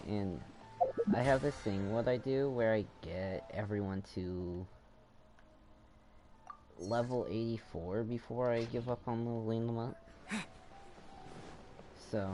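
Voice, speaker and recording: A man casually commenting on a game as he plays, thinking aloud in a halting, rambling way. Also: on the low side. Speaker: gamer playing and commenting.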